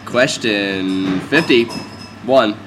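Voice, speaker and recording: A man's voice: lowish voice, extremely slow, over music, poor audio. A man hosting a casual radio interview, asking playful, joking questions. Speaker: radio host.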